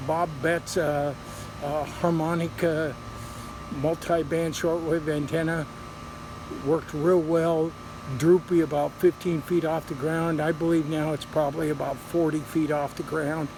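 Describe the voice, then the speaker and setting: Halting man outdoors, slightly high voice, noisy. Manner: halting, unscripted, repeats words. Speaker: hobbyist video presenter. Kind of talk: casual outdoor show-and-tell update. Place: outdoors in a residential yard. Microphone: handheld camera microphone. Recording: noisy outdoor handheld camera audio.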